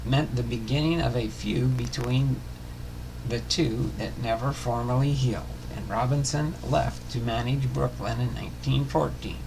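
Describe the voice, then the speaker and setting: A man hosting a baseball history series, reading a biography aloud in a halting way, stumbling and rereading words. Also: mid-range voice, vocal fry, background noise.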